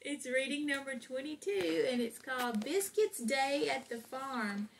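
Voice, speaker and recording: A woman's voice: high-pitched, animated, a little slow, vocal fry, slight background noise. A middle-aged woman coaching a child through a read-aloud in a casual, encouraging way, prompting word by word. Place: living room, indoors.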